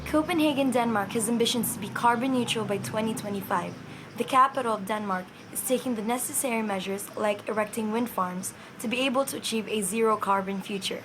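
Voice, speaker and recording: A woman reads a prepared statement, earnest and measured. Her voice sits a little high. Clear music plays under her. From Philippines. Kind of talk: prepared statement read to camera.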